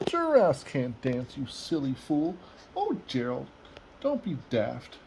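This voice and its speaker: A man voicing excitable, exclaiming jungle animals while reading a storybook aloud. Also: mid-range voice, slow pace, gravelly, background noise, exaggerated voice. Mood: mocking. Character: jungle animals, mocking then amazed, loud and exclaiming.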